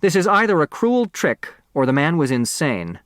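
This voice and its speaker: A man's voice: on the high side, big pitch swings, normal speed, a bit bright, no room sound, studio quality, voice pushed up. A man voicing a dignified, indignant female judge with a formal, biting manner in an audiobook.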